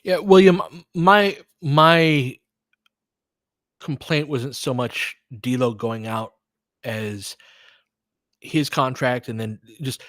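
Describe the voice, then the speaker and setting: A man's voice: mid-range voice, slow, long pauses, fairly bright tone, dry recording, studio quality. A man giving informal live-stream play-by-play and commentary, thinking aloud as he chats with listeners.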